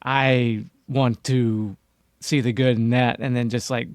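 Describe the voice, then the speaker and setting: A man's voice: medium pitch, flat delivery, slow, clean tone, no room sound. A man co-hosting a podcast, discussing television casually and arguing his points with emphasis. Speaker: podcast co-host.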